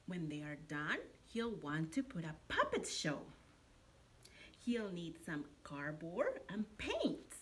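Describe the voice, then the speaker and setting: Slow, animated woman reading to children. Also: on the low side. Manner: deliberate, expressive storytelling for young children. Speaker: preschool teacher reading aloud. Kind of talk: children's picture-book read-aloud. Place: indoor classroom. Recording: noticeable steady room background noise.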